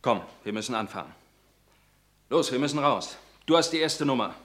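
An actor playing the commanding leader of an underground group who urges a wavering friend to keep quiet in a radio play. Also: male voice, medium pitch, lively intonation, normal speed, natural voice. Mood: urgent. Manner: urgent, commanding, hushed then sharp.

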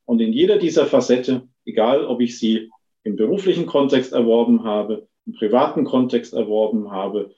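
Very mellow man explaining in echoey room. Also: medium pitch, normal speed. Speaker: expert interviewee, institute director. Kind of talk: explanatory interview answer. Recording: dull, echoey room recording.

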